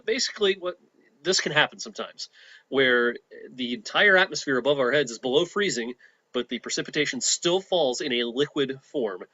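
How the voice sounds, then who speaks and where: A man's voice: medium pitch, fairly bright tone, no room sound, dull audio. A male TV meteorologist with a cold, explaining the weather casually with many uhs and restarts. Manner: chatty, filler-heavy with frequent uhs and restarts. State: has a cold.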